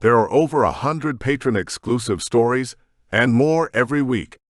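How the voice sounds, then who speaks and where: A man narrating his own audiobook story and author's note in a measured, expressive way. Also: mid-range voice, no room sound, clean studio sound.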